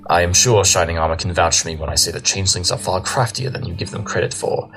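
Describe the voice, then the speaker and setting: A man's voice: sits low, fairly bright tone, soft background music, low-quality recording. A man acting a brilliant, arrogant pony detective in an audio drama, lecturing in a pompous, condescending way. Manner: pompous, rapid-fire lecturing.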